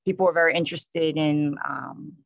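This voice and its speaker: A man with a New York accent, a police sergeant introducing himself on a panel in a plain, conversational way with many pauses. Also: fairly high pitch, fairly even intonation, vocal fry, fairly bright tone, no echo. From New York, USA.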